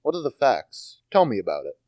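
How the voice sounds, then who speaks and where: A teenage male actor playing a coolly clever, detached gentleman in a radio play, offhand and understated. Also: mid-range voice, huge pitch swings, brisk, no echo. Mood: detached, curious.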